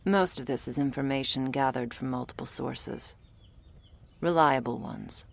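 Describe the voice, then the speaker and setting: A woman playing a jaded, prickly monster hunter in a roleplay audio drama, blunt and sardonic, with a low gravelly voice. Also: a little slow, full character voice. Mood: wary, explaining.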